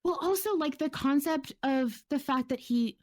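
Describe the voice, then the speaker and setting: A woman's voice: high voice, moderate pace, darkish tone, dry recording, clean studio sound. A woman chatting casually as a podcast host, effusive and slangy, with frequent asides. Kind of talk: casual fan discussion.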